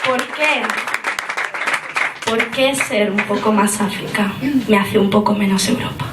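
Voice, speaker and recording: Young woman doing slam poetry, slow and rhythmic, mic kinda far, echoey room. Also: medium pitch, a loud crowd, very noisy. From Spain, of Moroccan family. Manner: slow, rhythmic, deliberate recitation. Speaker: spoken word poet. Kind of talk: spoken word poetry performance. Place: live poetry event on a stage. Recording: roomy live room, distant mic, loud music. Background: loud instrumental music.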